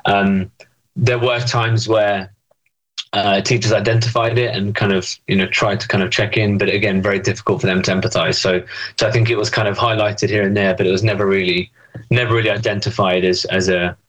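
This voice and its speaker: A man's voice: on the low side, steady tone, brisk, a bit bright, low-quality recording. A young man answering interview questions thoughtfully, with many fillers and restarts. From England. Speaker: interview guest.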